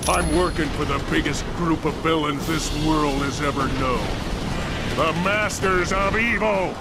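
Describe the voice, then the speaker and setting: A man's voice: on the high side, measured pace. A man voicing a boastful supervillain henchman in a video game, gloating and taunting as he looks for a fight. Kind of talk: video game cutscene acting. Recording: loud music under the voice.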